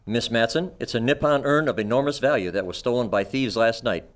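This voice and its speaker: Casting: male actor as pompous, proper doctor client in a radio mystery, stiff, precise diction, medium pitch. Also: brisk, close to an everyday voice. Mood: stiff, formal. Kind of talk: old-time detective radio acting.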